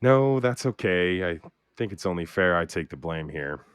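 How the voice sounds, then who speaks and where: Audio drama: male actor as guarded fugitive, low voice, very lively intonation, hesitant and self-blaming. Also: normal speed, smooth, no room sound. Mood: guilty, bitter. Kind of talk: naturalistic audio drama dialogue.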